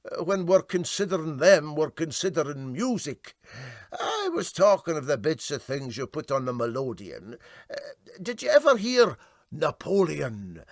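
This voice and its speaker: A man voicing a vain, sentimental and self-important steamboat skipper with a Scottish Highland accent, for a storybook. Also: sing-song, normal speed, no echo, clean studio sound. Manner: ponderous, self-important, hesitant.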